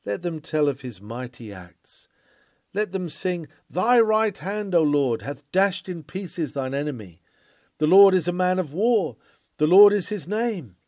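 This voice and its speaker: A man reads a classic sermon in a fairly high, mellow voice. His delivery is formal and oratorical, full of earnest declamation. The recording is dry and close, with little room sound. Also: lively intonation, measured pace, dry recording. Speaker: sermon reader.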